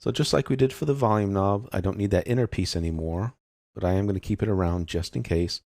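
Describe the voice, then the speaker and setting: A man with a very low, creaky voice walks through a software tutorial. He explains each step methodically in informal, unscripted language. The sound is close and dry. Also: fast talker, dry recording.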